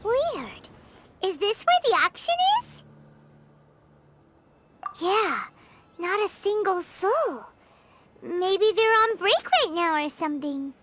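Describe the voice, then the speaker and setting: A woman voicing a tiny, bubbly fairy girl companion in a game, who chatters excitably and talks about herself in the third person. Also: takes it slowly, long pauses, background noise, full character voice. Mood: puzzled. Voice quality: high, squeaky and excitable. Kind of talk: game cutscene voice acting.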